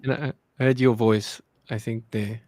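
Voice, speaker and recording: A man's voice: mid-range voice, fairly even intonation, moderate pace, a little mellow, no echo. Earnest man, dry close mic. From South Africa. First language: Afrikaans. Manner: earnest, searches for words. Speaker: guest. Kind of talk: casual debate on a call.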